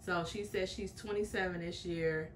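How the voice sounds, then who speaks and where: A woman's voice: lowish voice, steady tone, moderate pace, slight background noise, low-quality recording. A young woman hosting a reaction video, chatting frankly and opinionated to her viewers, with in her speech. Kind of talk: casual reaction commentary.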